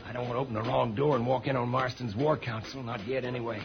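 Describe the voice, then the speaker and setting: A man's voice: mid-range voice, fairly even intonation, fast, vocal fry, natural voice. Guy as wry grumbly loyal sidekick, old radio serial, muffled w lots of noise. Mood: worried, hushed. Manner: wry, folksy, complaining. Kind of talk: 1950s radio serial acting.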